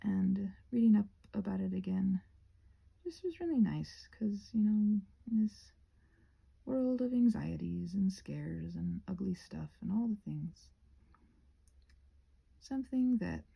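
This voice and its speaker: A woman chatting in a rambling, halting ASMR style, soft-spoken and often slipping into whispers. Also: mid-range voice, expressive, gravelly, slightly rough, muffled recording. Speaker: ASMR creator rambling while painting a craft project. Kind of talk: ASMR rambling casual chat.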